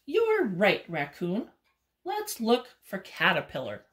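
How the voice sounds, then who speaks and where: A woman's voice: medium pitch, wildly swooping pitch, takes it slowly, a bit bright, full character voice. A woman voicing a sensible goose getting ready to fly south, reading from a children's story. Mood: storybook. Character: chilly, sensible goose about to migrate.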